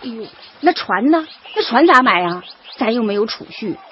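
An actress playing a practical, nagging but caring working-class wife in a radio drama, grumbling and teasing with a Northeastern Chinese accent. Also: female voice, on the high side, very animated, normal speed. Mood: doubtful. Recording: dull lo-fi audio, faint noise.

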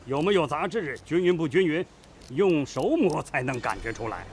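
A man acting a middle-aged master worker in a radio drama, gruff and blunt as he lectures. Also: fairly high pitch, very animated, normal speed. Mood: stern, instructive. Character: gruff veteran factory master worker, teaching sternly. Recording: faint background noise.

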